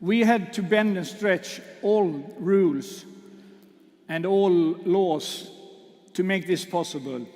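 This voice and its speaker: A man with a high voice hosts an awards ceremony from a stage. He talks slowly and jokes, stumbling over fillers. English is not his first language, and his voice rings with the long reverb of a big hall. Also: darkish tone. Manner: joking, self-deprecating, halting fillers. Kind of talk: speech to an audience. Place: large hall.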